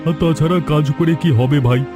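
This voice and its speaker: A man's voice: on the high side, a little fast, over music, close to an everyday voice. A man playing a lazy, smug idler brother in a cartoon, grumbling and mocking. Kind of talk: Bengali cartoon story acting.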